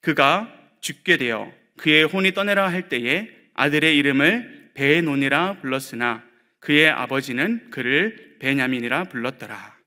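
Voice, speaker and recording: Bright-voiced male pastor preaching warmly. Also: fairly high pitch, expressive. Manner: conversational preaching with light humor and frequent filler pauses. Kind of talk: sermon.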